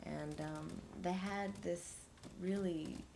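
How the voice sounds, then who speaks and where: Woman acting as a hesitant, chatty, low-voiced woman. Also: steady tone, slow, gravelly, noisy background. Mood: fond, hesitant. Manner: hesitant, rambling, conversational. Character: friendly, chatty young woman giving a personal testimony.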